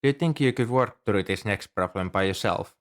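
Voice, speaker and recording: A man's voice: fairly low pitch, a little fast, natural voice. A man performing an ASMR roleplay as a shy, earnest young student librarian, speaking in a hesitant, helpful and apologetic way. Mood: patient, helpful. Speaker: amateur audio roleplay performer. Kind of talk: ASMR roleplay, scripted one-sided dialogue.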